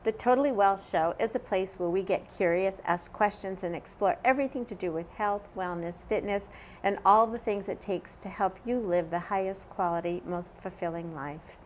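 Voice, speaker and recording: A woman hosting a local health talk show, friendly and halting as she asks her guest questions. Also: medium pitch, slight background noise. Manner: friendly, hesitant with fillers and restarts. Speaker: host, nurse.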